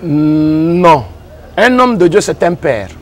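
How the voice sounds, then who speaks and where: A man with a Congolese French accent, a pastor and engineer, answering interview questions in a measured way and repeating phrases as he defines ideas. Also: a little high, sing-song, a little hiss or hum. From Democratic Republic of the Congo.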